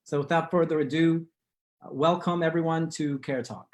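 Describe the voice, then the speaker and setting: A man hosting an online community talk show from his living room on a video call, speaking in a formal, measured way. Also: fairly high pitch, fairly even intonation. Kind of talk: scripted-sounding show introduction and interviewing.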